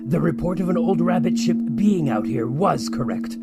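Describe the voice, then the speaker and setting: Creaky, servile fox second-in-command played by a man. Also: a little high, expressive, moderate pace, over loud music. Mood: obsequious. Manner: deferential, cautious. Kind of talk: sci-fi audio drama acting.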